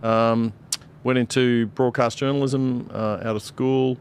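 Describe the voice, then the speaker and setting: A man's voice: medium pitch, fairly even intonation, a little slow, a little hiss or hum. A middle-aged Australian man, a broadcaster on a discussion panel, talking emphatically with many fillers and restarts.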